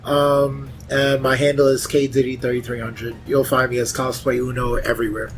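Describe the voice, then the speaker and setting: A man's voice: medium pitch, level tone, normal speed, slight background noise. A man chatting casually as a panelist, with a jokey, interjecting way of talking. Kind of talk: casual chat.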